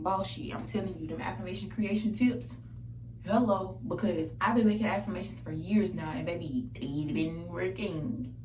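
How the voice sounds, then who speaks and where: A young woman giving a casual motivational monologue, blunt, profane and emphatic, repeating phrases for effect. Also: fairly low pitch. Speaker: self-help vlogger. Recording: echoey, distant mic, background noise.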